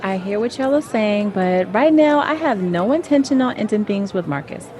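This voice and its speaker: A woman's voice: mid-range voice, expressive, normal speed, darkish tone, slight background noise, lightly acted. A woman acting in an audio drama as a brash, restless, unapologetic wife with a sassy, casual manner. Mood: restless, defiant. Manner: sassy, casual girlfriend banter. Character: brash, restless married woman, unapologetic about her affair.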